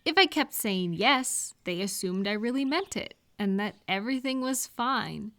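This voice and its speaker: A woman's voice: mid-range voice, animated, normal speed, a bit bright. A woman speaking conversationally to one listener in a supportive advice roleplay, reassuring and explanatory. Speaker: audio roleplay performer giving supportive advice.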